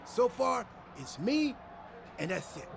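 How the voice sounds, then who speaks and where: An actor playing a goofy, over-excited wrestler in a video game cutscene, boastful and rambling about his faction. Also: very high-pitched, slow pace, full character voice. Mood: hyped, boastful. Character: hyped, goofy male wrestler, fanatically loyal to his faction. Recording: clearly noisy background.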